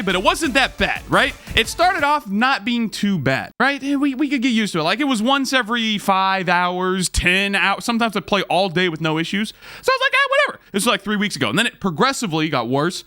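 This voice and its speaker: A man who streams, telling a casual story to viewers in an excitable, slangy way, repeating phrases for emphasis. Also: high-pitched, brisk, dry recording, studio quality, faint music behind.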